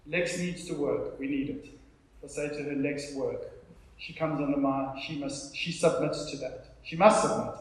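A man's voice: a little high, fairly bright tone. A South African man in his thirties preaching an informal sermon, conversational and emphatic, repeating phrases for effect as he tells personal stories. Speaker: pastor preaching to a congregation. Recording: very echoey room, live sermon.

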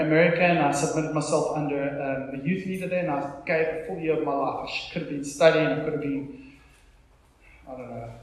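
A heavily reverberant live recording of a South African man delivering a sermon. His voice sits a little higher than most men's. He speaks informally, like a storyteller, leaning on repeated phrases for emphasis. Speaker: pastor preaching to a congregation. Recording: very echoey room, live sermon.

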